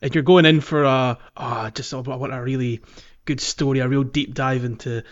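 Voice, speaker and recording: A man's voice: mid-range voice, steady tone, normal speed, lo-fi sound. A man chatting casually and enthusiastically as a podcast host. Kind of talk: casual chat.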